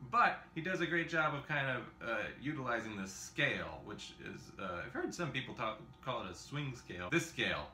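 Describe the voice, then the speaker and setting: A man's voice: mid-range voice, sing-song, normal speed, hardly any pauses, bright, forceful tone, soft background music. Thirties male teacher, chatty and self-deprecating, recorded on camera in an echoey kitchen with xylophone notes behind him. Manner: rambling, self-deprecating, with frequent fillers and laughs. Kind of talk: casual music lesson. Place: home kitchen. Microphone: camera in front at a short distance.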